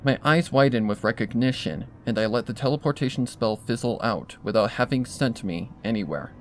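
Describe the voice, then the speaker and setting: A man narrates a first-person horror story in a mid-pitched voice. It is a scripted fan fiction reading, recorded with a faint layer of background noise. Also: brisk.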